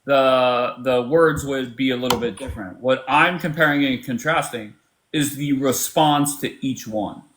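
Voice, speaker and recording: Brisk, dry man hosting a call-in stream. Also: medium pitch, background noise. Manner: brisk, dry, cuts callers off. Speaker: livestream host moderating callers. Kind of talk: live call-in debate stream.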